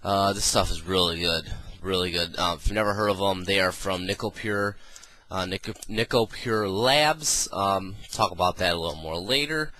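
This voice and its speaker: A man's voice: sits low, normal speed, pushed and bright. A man reviewing a gadget in a casual, rambling way, full of ums and uhs, sounding nasal from a cold. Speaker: product reviewer.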